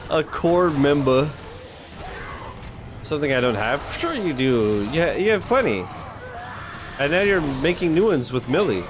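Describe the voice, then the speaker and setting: A man's voice: on the high side, very animated, a little slow, long pauses. A man hosting a reaction stream, chatting casually in a slangy, sweary, rambling way. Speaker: reaction video host. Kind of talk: casual reaction chat with viewers. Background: music from the game.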